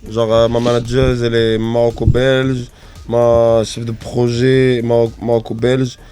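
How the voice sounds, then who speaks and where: A man's voice: mid-range voice, level tone, unhurried, dark tone, soft background music. A man in his twenties, a guest on a radio chat show, talking in a laid-back, hesitant way. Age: 20s. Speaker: studio guest.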